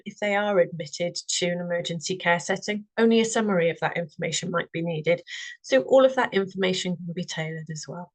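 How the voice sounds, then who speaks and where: A woman's voice: fairly low pitch, low-quality recording. A woman with a Welsh accent giving a friendly, earnest presentation on a video call, with many fillers and restarts. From Wales. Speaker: webinar speaker. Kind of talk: presentation to online audience.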